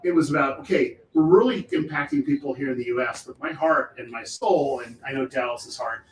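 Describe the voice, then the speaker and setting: A man hosting a casual livestream chat on a film set, excited and chatty, with a loud voice he tries to keep down. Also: medium pitch, brisk. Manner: excited, chatty, keeps voice down for filming. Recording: echoey, distant mic with faint background noise.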